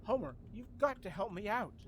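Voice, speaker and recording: An anxious teenage schemer acted by a man in vintage radio comedy style. The voice is pleading and exclaiming, with lively jumps in pitch. There is clear vehicle noise behind it. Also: moderate pace. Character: anxious, whiny teenage boy, earnest schemer. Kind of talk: old-time radio comedy acting.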